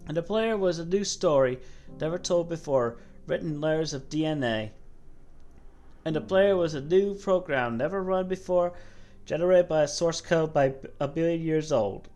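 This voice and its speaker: Woman voicing a low, solemn cosmic god. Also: mellow, faint music behind, lightly acted. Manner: measured, dramatic storytelling. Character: low-voiced godlike cosmic being, solemn storyteller. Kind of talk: dramatic reading of game dialogue.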